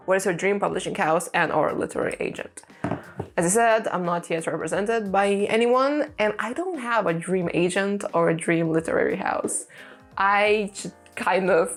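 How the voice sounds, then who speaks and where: A young woman whose first language is Lithuanian, chatting casually and laughing often as she answers a run of tag questions, with lots of "you know" fillers. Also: medium pitch. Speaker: vlogger, aspiring author. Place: room with a creaky chair. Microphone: desk mic.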